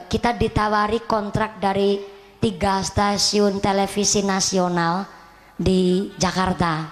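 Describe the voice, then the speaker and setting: A young Javanese-accented woman gives a sermon on stage, talking easily and joking with her listeners. Her voice, neither high nor low, carries through a reverberant space, with faint noise underneath. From Central Java, Indonesia. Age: 20s. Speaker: Islamic preacher. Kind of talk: lively stage sermon to a village audience. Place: outdoor stage at a Quran graduation event.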